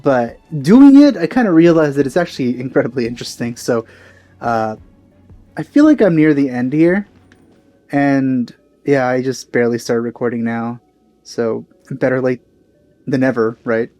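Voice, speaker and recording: A man's voice: mid-range voice, expressive, normal speed, mellow, dry recording. Male let's play host, mid-range voice, offhand and rambling casual commentary over game music.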